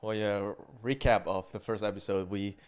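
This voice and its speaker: A young man with a lowish, smooth voice hosting a relaxed chat. He steers the conversation, recaps, and prompts his co-host with easy questions. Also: normal speed. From Indonesia. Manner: prompting, asks guiding questions. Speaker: podcast co-host. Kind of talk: casual conversational podcast.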